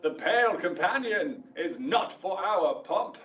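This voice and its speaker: An actor playing a stately, amorous duke of Athens in a Shakespearean audio drama, with grand lyrical declamation. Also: male voice, sits high, expressive, a little slow, a bit bright, faint music behind. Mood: festive command. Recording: dull, echoey playback from a distance.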